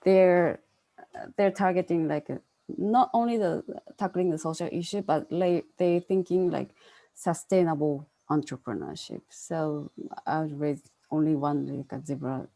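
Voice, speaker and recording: A woman's voice: low-pitched, unhurried, mellow. A woman answering as a panelist in an online discussion, searching for words with many fillers.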